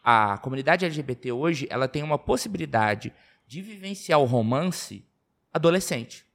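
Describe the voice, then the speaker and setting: A man's voice: a little high, expressive, normal speed, creaky, a bit bright. A man in his twenties with a Brazilian Portuguese accent, co-hosting a casual podcast and explaining his views with examples. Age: 20s. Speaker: podcast co-host. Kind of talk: casual chat.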